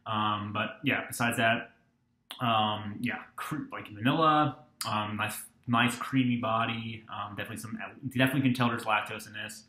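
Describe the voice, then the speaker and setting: A man's voice: lowish voice, moderate pace. Young man rambling about beer, echoey room. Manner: relaxed, rambling, many ums and restarts. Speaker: beer reviewer. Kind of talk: casual beer review vlog. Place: small dorm-like room indoors.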